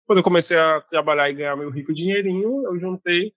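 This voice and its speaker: A man's voice: on the high side, quick pace, a bit bright, no room sound, muffled recording. A man from Bahia, a guest author talking casually in an interview, with many fillers and restarts. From Bahia, Brazil.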